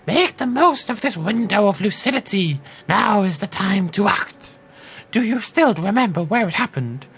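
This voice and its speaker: A man putting on a high, drawn-out voice for a creepy old woman lighthouse keeper he says sounds like a gremlin. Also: expressive, gravelly, a bit bright, breathy edge, heavily acted. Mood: ominous. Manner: high cackling put-on old-lady voice, drawn out.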